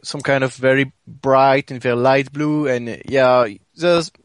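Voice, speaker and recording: A man with a mid-range voice joins a casual fan discussion. He speaks haltingly, feeling his way through sentences, and is recorded very dry. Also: mid-range voice, steady tone, clean tone, no echo. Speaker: podcast panelist.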